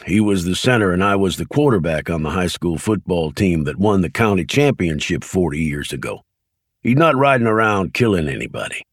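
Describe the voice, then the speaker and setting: A man's voice: deep voice, animated, a little fast, no echo, studio quality. A man reading an audiobook, voicing a middle-aged small-town sheriff who talks plainly and firmly. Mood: matter-of-fact. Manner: plain, firm, no-nonsense. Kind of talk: audiobook character voice.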